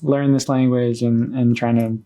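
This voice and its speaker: Young man, podcast host, mellow dark tone, hesitant, lots of fillers. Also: mid-range voice, level tone, normal speed. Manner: hesitant, soft-spoken, many fillers. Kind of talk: casual podcast chat.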